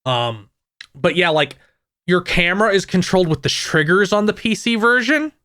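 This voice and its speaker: A man with a fairly high voice chats about games on a podcast, rambling along with plenty of restarts and asides. The sound is dry and close, with no room around it. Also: animated, sharp tone, no echo. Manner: rambling, many restarts and fillers, quick asides. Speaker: podcast co-host. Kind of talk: casual gaming podcast chat.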